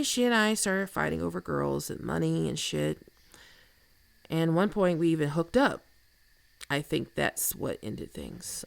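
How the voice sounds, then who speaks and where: Woman acting a very creaky, teasing flirt. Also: lowish voice, no room sound, full character voice. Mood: reluctant, reflective. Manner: casual, teasing, unhurried. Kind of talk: intimate ASMR roleplay acting.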